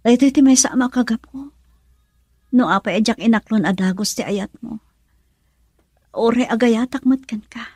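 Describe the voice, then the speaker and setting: A gravely ill young woman in love. Her voice is husky and breathy, recorded close and dry. She speaks tenderly and haltingly, resigned and sorrowful, often sinking toward a whisper in romantic radio drama style. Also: on the high side, dry recording. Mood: sad, confessing. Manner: soft, halting, emotional delivery, often near whisper.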